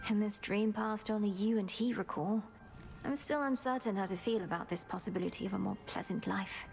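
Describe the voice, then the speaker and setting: An actress playing a determined, guarded young Underworld princess in a video game cutscene, earnest, formal and self-possessed. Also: female voice, medium pitch, a little fast, darkish tone, a little hiss or hum, lightly acted.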